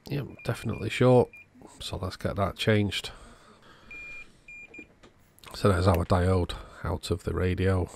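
Male repair-channel host, low creaky voice, casual step-by-step narration, dry close sound. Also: a little mellow, slight background noise.

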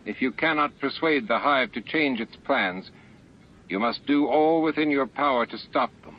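A man's voice: medium pitch, normal speed, voice pushed up, lightly acted. A man voicing a grave, formal fleet admiral in a science fiction audiobook, firm and earnest. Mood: stern. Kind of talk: audiobook character dialogue. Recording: muffled lo-fi audiobook with music.

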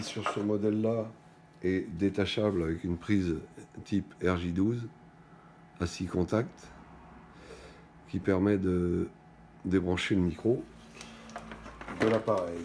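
A middle-aged man presents a product hands-on, unscripted. His voice sits very low and has a dark, relaxed tone. He speaks in halting stretches, stopping and picking up again, over a faint bed of room noise. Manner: halting, stop-and-go phrasing with trailing pauses. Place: indoors at a wooden table in a small shop or office.

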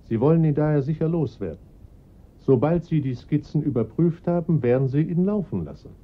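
Husky, formal police inspector, tinny narrow sound, radio drama. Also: male voice, on the high side, lively intonation. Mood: measured. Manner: measured, formal, reassuring. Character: calm, dutiful middle-aged male police inspector.

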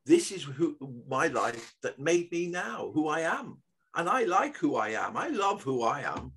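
A man's voice: on the high side, very animated, unhurried, fairly bright tone, husky. Encouraging older Englishman on a video call. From England. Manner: encouraging, earnest. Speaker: guest speaker. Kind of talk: motivational conversation on a video call.

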